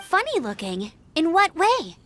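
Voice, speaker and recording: High, bright, spirited young knight. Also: female voice, wildly swooping pitch, measured pace, slight background noise, full character voice. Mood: curious, probing. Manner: peppy, confident, exclamatory. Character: spirited, bossy young female knight and glider examiner. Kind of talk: video game voice acting.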